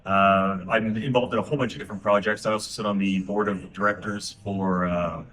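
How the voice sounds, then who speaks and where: A man from Canada, a company president, introducing himself on a conference panel in an upbeat, brisk way. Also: on the low side, steady tone, fairly bright tone, far from the mic, a little hiss or hum. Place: conference stage.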